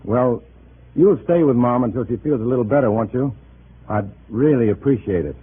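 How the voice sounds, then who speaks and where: An actor playing a nervous, hesitant undercover agent posing as a loyal comrade in a 1950s radio drama. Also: male voice, mid-range voice, moderate pace, slightly rough. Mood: strained, falsely cheerful. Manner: hesitant, stammering under pressure. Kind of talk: 1950s radio drama acting with first-person narration. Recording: tinny, noisy old radio recording.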